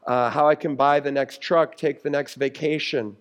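A man's voice: on the high side, steady tone, moderate pace. An American man preaching a sermon in an earnest, conversational way, explaining scripture with emphatic phrasing. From United States. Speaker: pastor preaching.

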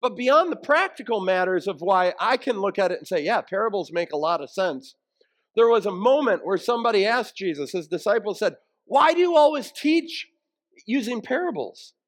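High-pitched man preaching conversationally. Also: very animated, normal speed. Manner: conversational, plain-spoken explaining with rhetorical questions. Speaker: pastor preaching to a congregation. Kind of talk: sermon, explanatory teaching.

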